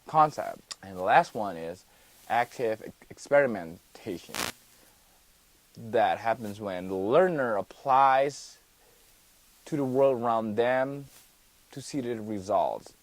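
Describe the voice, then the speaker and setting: A man's voice: on the low side, no room sound, poor audio. A young man from Indonesia talks through his studies as a guest on a homemade TV-style interview. He explains carefully, often stopping to restart a word mid-sentence. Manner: careful, halting delivery with restarts. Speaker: student guest. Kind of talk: mock TV interview, explaining coursework.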